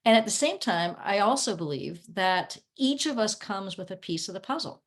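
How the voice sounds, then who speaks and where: A woman's voice: mid-range voice, animated, moderate pace. A woman speaking conversationally as a guest coach on a video call, explaining things in an earnest, reflective way. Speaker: guest, coach working with clients. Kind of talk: conversational interview.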